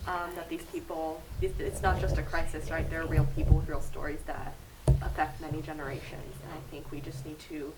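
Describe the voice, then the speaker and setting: Earnest young woman, low-pitched and distant. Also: level tone, brisk. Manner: earnest, emphatic. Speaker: panelist filmmaker. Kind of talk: earnest panel answer. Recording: distant mic, loud noise.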